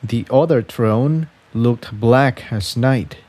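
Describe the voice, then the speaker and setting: Guy reading fanfic out loud, slow, a bit halting, trips on words sometimes. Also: mid-range voice. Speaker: fan fiction reader. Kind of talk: scripted story narration.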